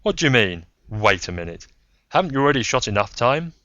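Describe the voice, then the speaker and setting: Male narrator as irascible colonel, impatient, accusing, loud, indignant, audiobook story, dry dull recording. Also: mid-range voice, sing-song, fairly quick, no echo, pitched above the performer's own voice.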